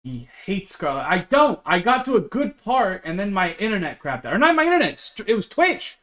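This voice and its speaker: A man's voice: sits high, very animated, a little fast, a bit bright. A young man streaming a game, chatting casually with his viewers and rambling with lots of fillers and restarts. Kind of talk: casual chat with a live stream chat.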